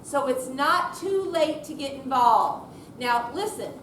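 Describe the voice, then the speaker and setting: A woman's voice: high-pitched, animated, slow pace. A woman giving an informal, chatty thank-you speech to an audience as a program organizer. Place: room at a senior center. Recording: roomy, distant mic, noisy.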